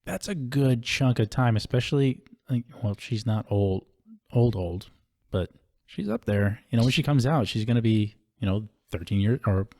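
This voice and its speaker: A man's voice: medium pitch, normal speed, darkish tone, dry recording. Chatty podcast host man, dry studio sound. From Hawaii. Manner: chatty, informal, uses slang fillers. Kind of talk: casual interview conversation. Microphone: studio microphone.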